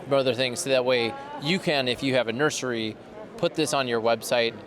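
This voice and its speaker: A man's voice: mid-range voice, fairly even intonation, brisk, crowd noise. Man, podcast host, upbeat and chatty, asks easy follow-ups, working a trade show floor. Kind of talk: casual interview.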